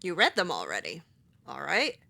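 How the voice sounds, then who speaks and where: A woman's voice: low voice, huge pitch swings, moderate pace, gravelly, pushed and bright. A woman voicing her own character while playing a video game, sarcastic and hesitant, with many fillers. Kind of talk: in-character roleplay voiced over gameplay.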